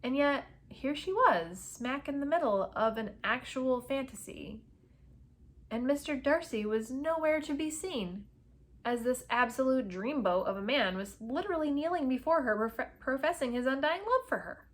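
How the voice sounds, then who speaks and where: A woman narrating a novel in an expressive, dramatic storybook read-aloud style with emphatic phrasing. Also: high voice, moderate pace.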